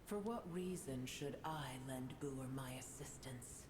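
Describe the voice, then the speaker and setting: Very deep, menacing ancient dragon. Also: female voice, lively intonation, noisy background. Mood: cold disdain. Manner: slow, imperious, disdainful. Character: Apep, ancient female dragon, haughty, bitter, menacing. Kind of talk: video game villain acting.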